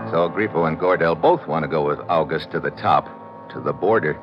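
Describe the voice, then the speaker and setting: An actor playing a wry, wary American adventure hero and narrator in an old-time radio drama, terse and slangy. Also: male voice, very deep voice, a little fast, over loud music. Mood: tense narration. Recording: muffled, noisy vintage radio recording.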